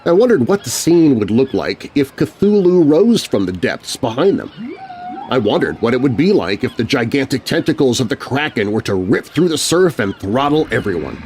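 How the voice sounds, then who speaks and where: A man reads as a shaken kid narrator in a scary storybook. The telling is dramatic and very animated in pitch, grumbling and expressive, broken by deliberate pauses. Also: a little hiss or hum, lightly acted. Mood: wary, imaginative. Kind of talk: first-person scripted story narration.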